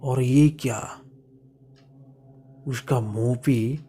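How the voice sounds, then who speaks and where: A man narrating a first-person horror story dramatically, in halting short phrases that sometimes drop to a hush. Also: medium pitch, fairly even intonation, slight background noise. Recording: music bed behind the voice.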